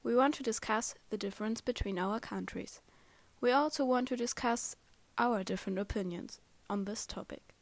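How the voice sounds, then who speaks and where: A woman's voice: on the high side, moderate pace, no room sound. Earnest young woman, dry sound. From Germany. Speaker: podcast host. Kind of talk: reading prepared remarks.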